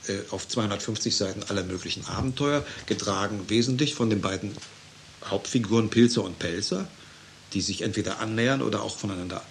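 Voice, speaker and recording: Guy explaining stuff carefully, some hesitation, lowish voice, faint noise. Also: fast. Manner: considered, hesitant, careful phrasing. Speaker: interviewed commentator. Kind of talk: explanatory talk.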